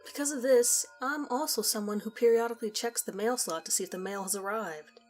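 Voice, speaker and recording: A woman narrating a scripted first-person story in a conversational, measured storytelling manner. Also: on the high side, a little mellow. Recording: music behind the voice. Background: background music.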